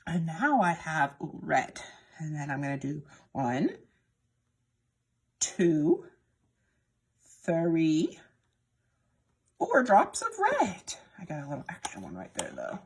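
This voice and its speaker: A woman's voice: deep voice, huge pitch swings, slow pace, long pauses. A woman presenting a children's lesson in a sing-song way, speaking directly to young viewers and prompting them as she goes. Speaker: children's storytime presenter at a library. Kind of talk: children's lesson with repetition and demonstration.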